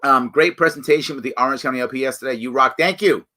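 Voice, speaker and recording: A man hosting a live stream, talking casually and reading viewer comments, his voice raspy and a bit hoarse from weeks of campaigning. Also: mid-range voice, fast. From New York State. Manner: conversational, animated, frequent fillers and restarts. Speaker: show host.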